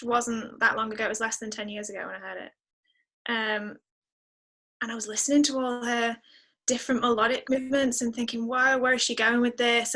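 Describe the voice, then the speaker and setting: Woman musician chatting in an interview, kinda high bright voice, reflective and into it. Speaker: guest singer-songwriter. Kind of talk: casual interview chat.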